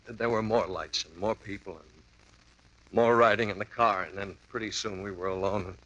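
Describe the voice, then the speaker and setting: A man's voice: lowish voice, fairly even intonation, fairly quick, bright, forceful tone, dull audio. An actor playing a rattled, hungover man recounting a strange night in a 1950s radio drama. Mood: dazed. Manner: wavering from mumbling to frantic. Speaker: client telling a story.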